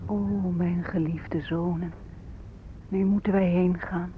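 A woman's voice: on the low side, fairly even intonation, slight background noise. An actress playing a mysterious woman lamenting her beloved sons in a radio drama. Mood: mournful. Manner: slow, lamenting. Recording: faint phone-like old radio recording.

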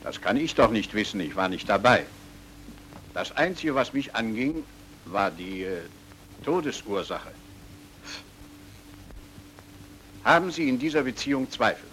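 An actor playing a curt, evasive and formal doctor in a German radio crime drama. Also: male voice, mid-range voice, sing-song, normal speed, a bit bright, low-quality recording. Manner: clipped, reserved, evasive. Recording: old radio recording with background noise.

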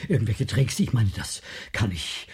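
An actor playing an obliging, anxiously talkative costume-rental shopkeeper in a radio crime comedy, chatty and over-explaining. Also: male voice, fairly low pitch, sing-song, moderate pace, a little mellow, slightly rough, full character voice. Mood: defensive, flustered.

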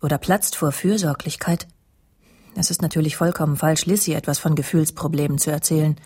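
Low-voiced, embittered, suspicious career woman. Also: steady tone, fairly quick, warm tone, slightly rough. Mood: suspicious, confiding. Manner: indignant, clipped, confiding in inner monologue. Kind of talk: radio play dialogue and first-person narration.

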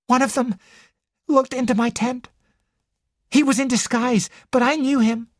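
A woman's voice: high-pitched, fairly even intonation, unhurried, no echo, clean studio sound, pitched above the performer's own voice. A woman narrator voicing an intense, fearful and proud young woman in an audiobook. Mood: fearful, secretive. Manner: intense, indignant, secretive. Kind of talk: audiobook character dialogue.

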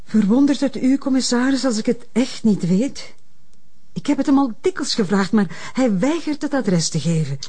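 A woman playing a middle-aged, reserved mother in a crime drama, speaking in a measured, formal way. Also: on the high side, lively intonation, mellow, breathy edge. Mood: resigned, defensive. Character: reserved, formal mother of a troubled adult son. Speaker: actor in a detective drama. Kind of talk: naturalistic crime drama dialogue.

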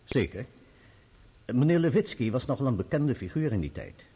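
An actor playing a composed, candid barrister who gives formal, explanatory testimony in a radio play. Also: male voice, medium pitch, big pitch swings, normal speed, dark tone, slightly rough. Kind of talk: radio play courtroom acting.

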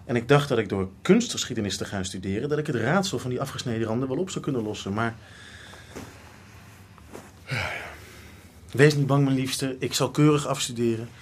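A man's voice: medium pitch, quick pace, hardly any pauses, slight background noise, close to an everyday voice. A man playing a young art history student, obsessive and teasing, in a radio drama. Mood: wry, confessing. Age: young adult.

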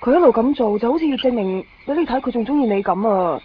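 A woman's voice: a little high, lively intonation, fast talker, slight background noise, close to an everyday voice. An actress playing a wistful, observant young woman in a radio drama, with a Hong Kong Cantonese accent. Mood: earnest. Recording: muffled radio broadcast over music.

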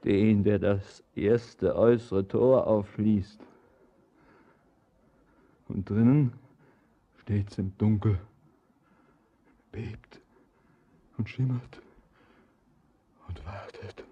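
An actor playing a haunted, tormented man gripped by dread, speaking in halting, feverish, poetic radio drama style with a husky, breathy voice. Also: fairly low pitch, fairly even intonation, dull audio, full character voice. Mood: haunted, hushed. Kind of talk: intense poetic German radio drama acting.

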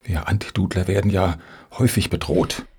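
An actor playing an unsure, deferential applicant in a satirical radio play, with a gravelly, husky voice and a hesitant manner. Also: male voice, deep voice, fairly even intonation, fairly quick, a little mellow. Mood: uneasy. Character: hesitant, unsure male applicant for foundation money.

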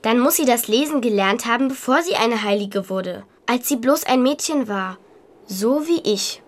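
A woman's voice: a little high, animated, normal speed. A female performer playing an eager, stubborn, clever little medieval girl who reasons persistently, in a German radio drama. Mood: eager, insistent.

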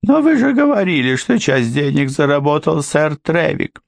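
A man voicing a frightened middle-aged housekeeper in a high, strained, tearful voice. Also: big pitch swings, moderate pace, gravelly, no echo, studio quality. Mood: pleading. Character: anxious middle-aged female housekeeper, shrill, pleading. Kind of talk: audiobook character voice.

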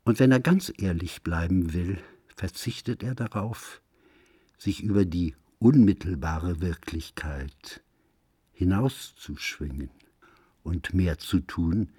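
A man with a low, husky voice gives a solemn scripted reading of literary prose. He takes his time over each phrase, grave and declamatory in the way of a radio recitation. The pace is slow and deliberate. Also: mellow, no room sound, studio quality. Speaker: reader of a literary essay.

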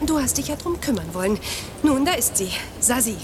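A woman playing a breezy, hurried mother in a German radio play, speaking briskly. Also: sits high, sing-song, background noise. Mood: brisk, insistent. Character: breezy, hurried mother dropping off her daughter.

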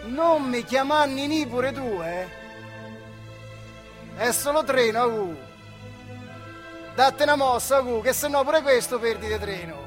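Brief: male stage actor as weary, stubborn working-class older man, central Italian dialect, high-pitched, halting and intimate theatre delivery over prominent music. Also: animated, a bit bright, exaggerated voice. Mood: agitated. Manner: colloquial, halting, addressing a comrade directly. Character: weary, stubborn working-class factory labourer talking with his old comrade.

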